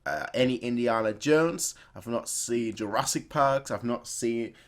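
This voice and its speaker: A man's voice: mid-range voice, measured pace. A young man hosting a reaction video, chatting casually to viewers in a rambling, playful way. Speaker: host of a reaction video channel.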